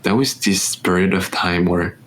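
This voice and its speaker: Slow-speaking young Frenchman, hesitant. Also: deep voice, fairly bright tone. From France. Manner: hesitant, searching for words. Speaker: podcast co-host. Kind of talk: casual chat.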